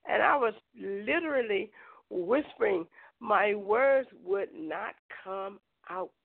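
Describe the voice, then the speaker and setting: Hoarse lady hosting a prayer call-in, gravelly and slow, phone-line sound. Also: medium pitch. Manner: chatty, folksy, talks straight to listeners. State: hoarse from a cold. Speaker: prayer show host. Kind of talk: casual prayer show chat. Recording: tinny phone line, dry.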